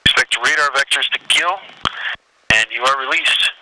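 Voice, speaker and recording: A man's voice: medium pitch, sharp tone. Hesitant male controller on noisy phone. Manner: hesitant, pausing between phrases. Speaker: flight data clearance controller. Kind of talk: halting phone clearance read-out. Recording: noisy phone call heard in cockpit.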